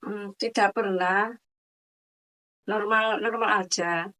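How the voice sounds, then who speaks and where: A woman's voice: medium pitch, steady tone, takes it slowly, sharp tone. A woman in her fifties giving short, plain answers in an interview. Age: 50s. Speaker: interviewed mother.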